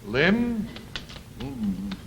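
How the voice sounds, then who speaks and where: A man's voice: mid-range voice, wildly swooping pitch, drawn-out words, poor audio. A man on an old television game show panel, calling out short guesses in a game of charades. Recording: old TV soundtrack, audience noise. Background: studio audience.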